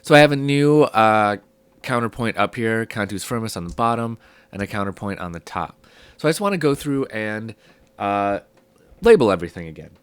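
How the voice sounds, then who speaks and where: A man's voice: lowish voice, normal speed, clean tone, no echo. Sick man teaching music theory. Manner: relaxed, thinking aloud with many ums. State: sick, voice low and hoarse. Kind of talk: casual spoken lesson.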